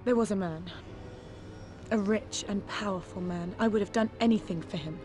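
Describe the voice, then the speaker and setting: An actress plays a poised woman who runs a criminal scheme in a spy game. She is measured and calculating, at a middle pitch, with prominent music behind her. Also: natural voice. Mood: confessional, resigned. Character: poised, calculating female criminal mastermind and double agent. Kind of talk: cinematic video game acting.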